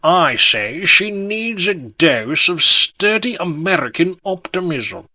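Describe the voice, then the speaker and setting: A man voicing a pompous, opinionated knighted gentleman who intones his words grandly. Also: high voice, sing-song, slow pace, dry recording, heavily acted.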